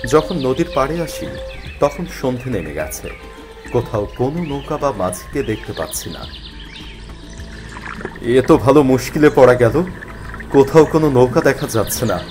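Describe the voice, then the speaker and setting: A man narrating a spooky first-person story in an expressive, dramatized way. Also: medium pitch, normal speed, pauses often. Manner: expressive, acts out the character's worried thoughts. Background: faint music.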